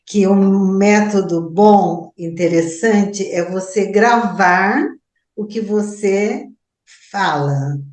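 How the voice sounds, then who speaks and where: A woman's voice: mid-range voice, slow, darkish tone. A Brazilian woman with a Brazilian Portuguese accent, interviewing casually and feeling for her words, recorded with an echoey room sound.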